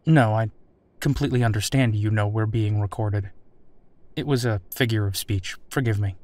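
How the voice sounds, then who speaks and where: A man's voice: fairly low pitch, moderate pace, a little mellow, lightly acted. Polite, probing military captain played by a man. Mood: probing, patient. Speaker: interviewer. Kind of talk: audio drama interview.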